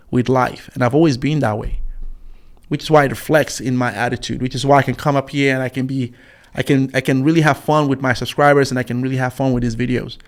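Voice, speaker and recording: A man in his forties gives casual online commentary. He reads out and reacts to viewer comments in a scornful, rambling way, with frequent fillers and restarts. His voice sits at a middling pitch. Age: 40s. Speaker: YouTube commentator.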